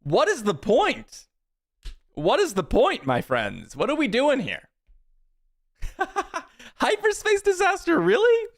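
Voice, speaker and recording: Male streamer, thirties, relaxed and talkative, reacts to chat while planning moves aloud. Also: extremely high pitch, slow, long pauses. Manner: chatty, answers chat, thinks aloud about plays. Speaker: streamer playing a card game. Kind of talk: casual live-stream chat while playing.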